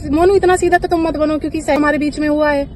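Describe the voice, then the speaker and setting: Woman for a tense phone dispute: very high-pitched, pressing and accusatory, light street noise behind her. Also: fairly even intonation, fast, darkish tone. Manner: insistent, accusatory. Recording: noisy phone call recording with traffic.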